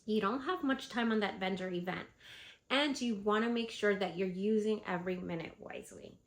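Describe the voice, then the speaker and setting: Female vlogger with a head cold, congested and chatty, middle-pitched voice. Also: moderate pace. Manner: chatty, animated, lots of fillers. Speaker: vlogger and candle seller. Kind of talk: casual advice vlog.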